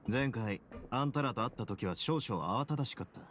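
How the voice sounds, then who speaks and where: A male actor playing a composed, confident prison boss in a Japanese game, speaking in a measured, dryly courteous way. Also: medium pitch, expressive, fairly bright tone, slight background noise, close to an everyday voice. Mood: composed, sincere. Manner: measured, cool, casually self-assured. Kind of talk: Japanese anime game voice acting. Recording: studio voice over game music.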